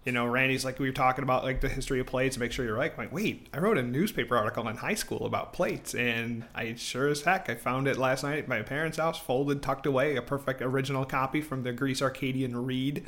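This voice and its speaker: A man's voice: medium pitch, fast talker, few pauses, a bit bright. A man of thirty-eight from Rochester with an American accent, telling anecdotes as a guest in a chatty, informal podcast conversation. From Rochester, New York, United States. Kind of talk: casual anecdotal podcast chat.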